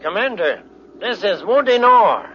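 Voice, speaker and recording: An actor playing a gloating criminal who taunts with mock friendliness, in the style of 1950s radio drama. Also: male voice, sits high, sing-song, measured pace, pushed and bright, exaggerated voice. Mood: taunting. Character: gloating criminal man taunting stranded heroes. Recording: muffled noisy old radio recording.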